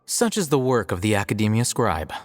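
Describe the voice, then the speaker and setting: Deadpan, aloof young scholar. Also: male voice, on the low side, a little fast, music in the background. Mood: dry. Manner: deadpan, curt, dry. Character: aloof, dry-witted young male scholar, easily bothered. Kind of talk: video game voice acting.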